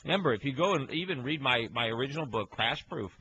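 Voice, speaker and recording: A man hosting a call-in radio show from a home studio, talking in a quick, chatty way with many fillers and repeats. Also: medium pitch, lively intonation, lo-fi sound, background noise. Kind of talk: casual call-in talk radio.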